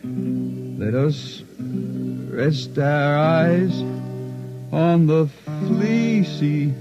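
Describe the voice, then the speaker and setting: A man's voice: on the high side, very slow. A man singing a folk ballad as a wistful spaceman balladeer in a 1950s radio drama. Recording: dull 1950s radio recording. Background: guitar.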